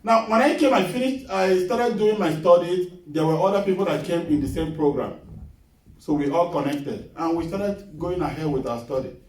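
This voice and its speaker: A middle-aged man preaching a sermon in a small church, earnest and measured, repeating his key phrases as he tells a personal testimony. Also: a little high, a little mellow. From Nigeria. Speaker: guest preacher. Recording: echoey hall, voice picked up from a distance.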